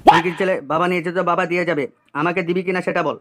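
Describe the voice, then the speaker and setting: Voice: medium pitch, steady tone, very fast, close to an everyday voice. A man voicing a brash, foul-mouthed young troublemaker in a comic cartoon, cocky and coarse. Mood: cocky, threatening.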